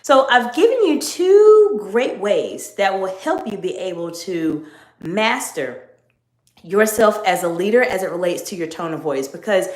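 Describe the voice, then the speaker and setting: A middle-aged woman coaching viewers on leadership in a live stream, speaking in a measured, emphatic teaching tone. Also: medium pitch, big pitch swings, darkish tone. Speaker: executive coach. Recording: echoey room.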